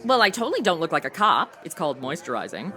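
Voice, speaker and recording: A woman's voice: sits low, very animated, fast talker, sharp tone, soft background music, heavily acted. An actress playing a flippant, self-absorbed modern young woman in a comedy audio drama, breezy, sassy and slangy. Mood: cocky. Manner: sassy, breezy, slangy, valley-girl phrasing.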